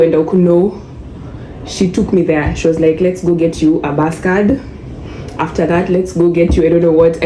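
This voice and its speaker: A young Kenyan woman with a low, mellow voice talks in a chatty, easygoing vlog. She mixes English with Swahili slang. The recording sounds echoey, with a faint hiss behind her. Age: young adult. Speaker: vlogger. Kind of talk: casual storytelling vlog. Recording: echoey room with faint noise.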